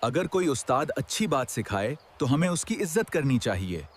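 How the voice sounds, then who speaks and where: A performer playing a patient, earnest religious teacher who explains a scripted children's cartoon lesson in a measured, didactic way. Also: medium pitch, big pitch swings, breathy edge. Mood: earnest, instructive.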